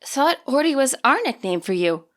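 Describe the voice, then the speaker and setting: A woman voicing a quiet, reserved teenage girl who speaks softly and hesitantly in a storybook reading. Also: high-pitched, sing-song, normal speed, voice pushed up. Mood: curious. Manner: soft-spoken and hesitant.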